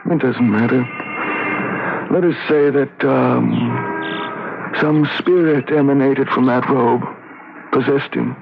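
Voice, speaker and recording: An actor playing a tormented, weary man who claims to be possessed, speaking haltingly in a 1970s radio drama. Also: mid-range voice, steady tone, vocal fry. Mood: guarded, evasive. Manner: halting, weary, pausing mid-sentence. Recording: muffled noisy vintage radio broadcast.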